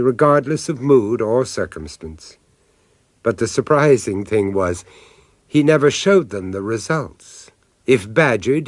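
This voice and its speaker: Dry, measured male narrator. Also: mid-range voice, dry recording. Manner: measured, wry storytelling. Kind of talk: scripted narration.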